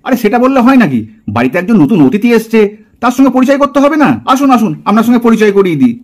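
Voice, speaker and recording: A man acting as a young boyfriend in a puppet drama, with an unusually high voice and rapid delivery. He is eager to please, coaxing and apologetic, and slips English words into his pleading. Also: very animated, full character voice. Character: eager, placating young boyfriend, easily bossed around.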